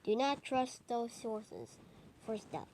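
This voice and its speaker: A woman reading a homemade mock emergency broadcast in a halting, improvised way with stumbles. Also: a little high, very animated, a little mellow, a little hiss or hum. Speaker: narrator of a homemade emergency alert scenario.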